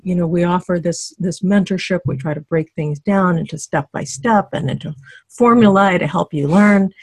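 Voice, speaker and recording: A middle-aged woman leading a dating teleclass on a video call in relaxed, encouraging talk full of fillers and restarts. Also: on the low side, husky, no room sound. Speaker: dating coach co-hosting a teleclass. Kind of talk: conversational teaching on a video call.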